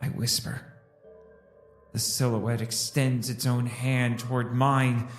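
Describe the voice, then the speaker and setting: Slow, echoing, haunted man acted over music. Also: mid-range voice, level tone, natural voice. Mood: desperate. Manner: slow, solemn, hushed dramatic delivery. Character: anguished male survivor narrating his drift through oblivion. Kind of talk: dramatic first-person audio drama narration.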